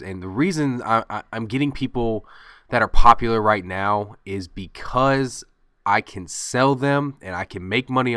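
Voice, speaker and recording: Bright-voiced man with a stuffy nose. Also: on the low side, dry recording, studio quality. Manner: rambling, many fillers and restarts. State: stuffed up from a cold. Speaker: gaming commentator. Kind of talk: casual game commentary.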